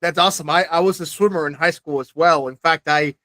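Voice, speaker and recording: A man in his forties hosts an alumni interview podcast, his voice pitched somewhat high for a man. He sounds close and dry, with no room around him. His questions are friendly and loose, full of small restarts. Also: brisk, bright, forceful tone, no echo. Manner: friendly, scripted intro then chatty questions with restarts. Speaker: podcast host.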